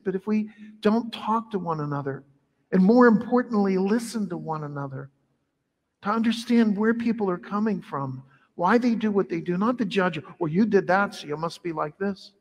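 Man, middle-aged priest, high voice, animated homily, large church, long reverb. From Ireland heritage. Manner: animated, conversational preaching with wide arm gestures. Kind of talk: sermon. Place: large church sanctuary at the altar.

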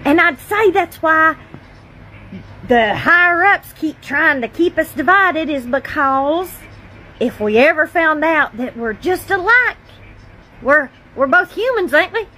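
High voiced woman, slow folksy chat, bit of noise behind her. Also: lively intonation, pushed and bright, poor audio. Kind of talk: informal personal talk to camera. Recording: faint background noise.

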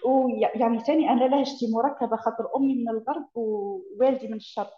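Young Eastern Algerian woman, slightly high voice, lively guest on a video call. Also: a crowd in the background. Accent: Eastern Algerian Arabic. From Tebessa, Eastern Algeria. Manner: quick, animated, laughs often. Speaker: interview guest. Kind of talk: casual chat explaining dialect. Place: room with bookshelves, indoors. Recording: roomy, dull video call audio.

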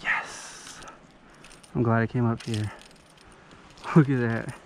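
A young man, excitedly narrating his kayak fishing from an action camera on a river. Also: mid-range voice. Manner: excitable, exclaiming, instructive. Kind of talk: excited on-the-water commentary. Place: outdoors on a rushing river in a kayak. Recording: loud rushing water noise.

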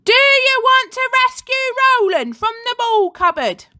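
A woman's voice: extremely high pitch, very animated, a little slow, pushed and bright, pitched above the performer's own voice, heavily acted. A woman voicing a rebellious schoolgirl who rallies her classmates with urgent energy. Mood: rallying. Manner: urgent, rallying cries. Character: rebellious schoolgirl, bold rabble-rouser.

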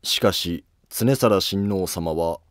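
A man voicing a calm, dignified imperial prince in a historical cartoon drama, measured and formal. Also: low voice, no echo, clean studio sound. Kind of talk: Japanese historical animated drama acting.

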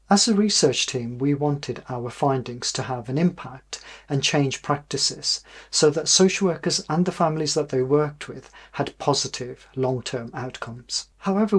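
A middle-aged man with a British accent presents research in a measured way. His voice is mid-pitched with a husky grain, and he explains each point as a lecturer would. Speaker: university professor presenting research.

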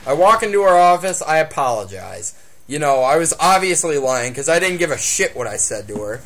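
A man's voice: a little high, big pitch swings, brisk, fairly bright tone. A young man telling a story casually, crude and foul-mouthed, as a guest.

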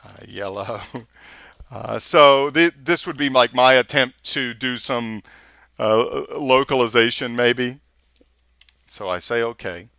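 Bright-voiced Texan man teaching software. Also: medium pitch, takes it slowly, dry recording. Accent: Texas. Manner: casual, thinking aloud while clicking. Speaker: software instructor. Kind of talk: screencast tutorial walkthrough. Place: voice-over of a screen recording.

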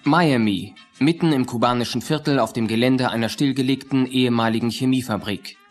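A man's voice: mid-range voice, a little fast, soft background music. A man announcing places and times in clipped, scripted lines for an audio thriller. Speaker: scene announcer.

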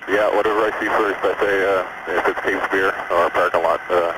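Male chopper pilot calling atc on radio, lowish voice, noisy signal. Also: fairly even intonation, normal speed. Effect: aviation radio. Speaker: helicopter pilot. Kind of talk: pilot radio calls to air traffic control. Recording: noisy cockpit radio transmission.

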